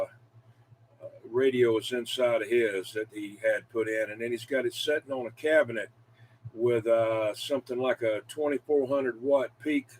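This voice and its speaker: A man with a middle-pitched voice rambles in folksy CB slang, chatting casually about gear. His recording carries a light layer of noise. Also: rough recording. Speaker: CB radio hobbyist. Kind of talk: casual radio chat about equipment.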